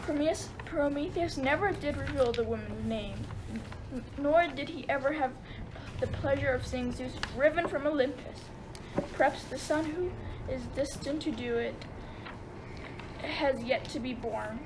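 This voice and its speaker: A woman's voice: high voice, a little slow. A woman narrating a radio play as scripted storytelling, sometimes stumbling over words. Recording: very noisy amateur recording.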